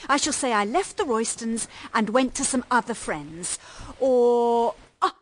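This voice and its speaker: An actress playing a desperate, self-pitying young English wife in a period radio drama, pleading and melodramatic. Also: female voice, fairly high pitch, lively intonation, a little slow, poor audio. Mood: defiant, frightened. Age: young adult. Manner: melodramatic, pleading, childish outbursts.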